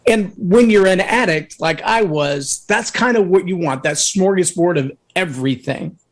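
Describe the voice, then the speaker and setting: Man, talk show guest, earnest storyteller, booming when loud, fairly high voice. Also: moderate pace. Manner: earnest, anecdotal, acts out others' words. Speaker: guest speaker.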